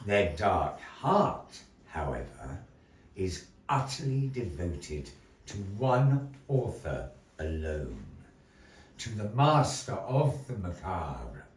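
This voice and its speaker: A man's voice: low voice, big pitch swings, slow. A man narrating a live puppet show in a theatrical, playful way, chatting with the audience between parts of the story. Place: gallery venue, live show. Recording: echoey room, mic at a distance.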